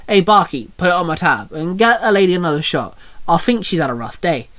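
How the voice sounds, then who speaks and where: A man voicing a dashing, helpful gentleman in a casual, confident manner. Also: high-pitched, big pitch swings, a little fast. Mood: relaxed, knowing.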